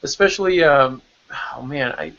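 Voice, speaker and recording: Male podcast host, medium pitch with vocal fry, informal delivery, muffled low-fidelity audio. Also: animated, moderate pace, a bit bright. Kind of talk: casual hosting chat. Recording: dull lo-fi audio.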